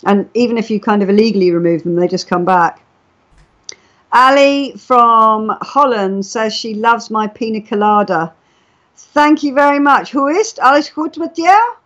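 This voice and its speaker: A woman's voice: a little high, animated, normal speed, fairly bright tone. Chatty woman on dull lo-fi audio. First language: English. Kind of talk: casual live chat, storytelling. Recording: dull lo-fi internet chat audio.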